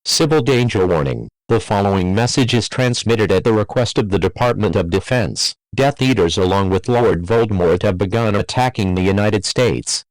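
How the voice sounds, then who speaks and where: A man's voice: on the low side, dry recording, low-quality recording. A man's synthetic-sounding voice reading a scripted emergency alert in a formal, impersonal way.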